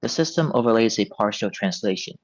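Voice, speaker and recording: Male narrator for script directions; lowish voice, scripted read, slightly muffled lo-fi audio. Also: expressive, a little fast. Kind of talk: reading stage directions at a table read. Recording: dull, band-limited audio.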